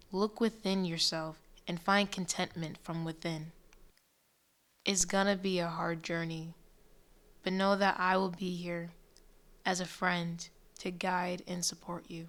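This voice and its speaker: A woman playing a calm, supportive therapist in a radio drama, speaking in a measured, earnest, reassuring way. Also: on the low side. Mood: gentle encouragement. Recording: music bed under the voice.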